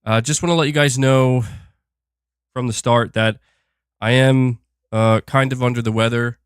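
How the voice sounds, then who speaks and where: A man's voice: mid-range voice, steady tone, moderate pace, smooth, no room sound, clean studio sound. A man hosting a gaming livestream, chatting casually while sick and a bit nasal, rambling with fillers and restarts. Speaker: gaming channel host.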